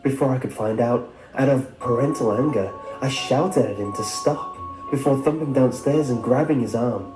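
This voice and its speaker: A man's voice: mid-range voice, normal speed. A man reading a scripted first-person horror story as its narrator. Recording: roomy echo under music. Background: music.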